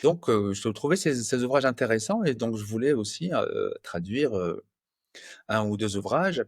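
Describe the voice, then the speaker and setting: A man in his fifties from France, a translator answering interview questions in a patient, measured way with many pauses. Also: lowish voice, lively intonation, slightly rough, no room sound, clean studio sound. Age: 50s. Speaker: guest, translator.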